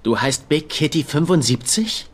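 An actor playing a cocky, playful young fake psychic detective with mock drama in a dubbed comedy crime series. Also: male voice, fairly high pitch, sing-song, moderate pace, slightly rough. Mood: teasing.

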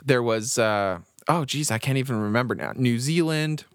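A man's voice: mid-range voice, moderate pace, smooth, no room sound, clean studio sound. A young Canadian man co-hosting a podcast, telling a rambling story in casual chat and laughing often. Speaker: podcast co-host.

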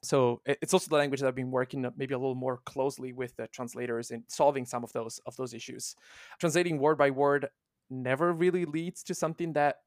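Halting man. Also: mid-range voice, fairly even intonation, dry recording, studio quality. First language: Italian. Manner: halting, many restarts and fillers. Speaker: guest, translation manager. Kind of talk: casual podcast chat.

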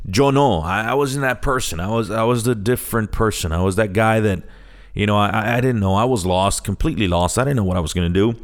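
Low-voiced American man hosting a podcast. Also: quick pace. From Chicago, United States. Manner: conversational, switches between English and Spanish. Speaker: podcast host. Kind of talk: casual solo podcast introduction.

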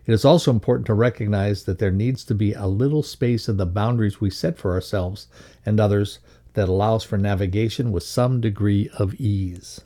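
Mellow, low-voiced man reading a lesson. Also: moderate pace. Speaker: reader of a leadership values lesson. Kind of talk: scripted instructional narration, read aloud.

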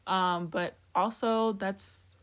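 A woman's voice: medium pitch, fairly even intonation, extremely slow, a loud crowd. A woman from Africa co-hosting a podcast, chatting candidly, laughing often and drifting off topic. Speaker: podcast co-host. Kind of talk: casual chat.

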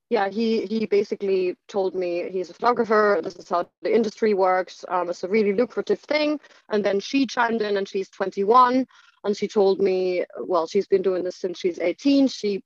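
Halting woman. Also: mid-range voice, dry recording. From Germany. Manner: halting, full of "like" and restarts. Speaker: speaker sharing her life story. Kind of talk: candid personal storytelling. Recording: dull lo-fi dry recording.

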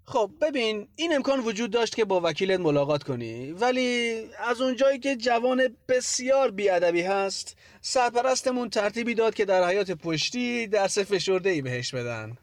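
A woman voicing a smug, falsely courteous male police chief in an audiobook, speaking in an unctuous, drawn-out way with quiet menace. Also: mid-range voice, big pitch swings, dry recording, close to an everyday voice. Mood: smugly menacing. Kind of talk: acted audiobook dialogue.

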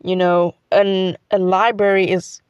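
A woman's voice: mid-range voice, little pitch movement, a little slow, clear, resonant tone, no echo, dull audio. A teenage girl from South Africa with a South African accent, Xhosa her first language, chatting casually in a rambling vlog full of "like". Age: teens. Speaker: student vlogger.

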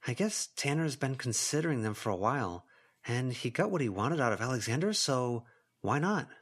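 A wary teenage student who knows the score, read by a man. The delivery is explanatory and conversational storybook dialogue with a lively, moving pitch. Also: moderate pace, breathy edge. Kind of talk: audiobook character dialogue.

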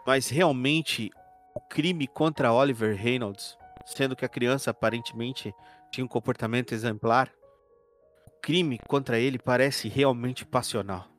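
A man playing an earnest, uneasy detective in a horror roleplaying game, with formal, deliberate phrasing. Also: medium pitch, faint music behind, lightly acted. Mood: pondering.

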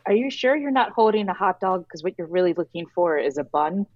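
A woman's voice: lowish voice, lively intonation, dry recording. Dull-sounding female podcast guest. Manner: halting, joking. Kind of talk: casual improv chat. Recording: dull, dry lo-fi mic.

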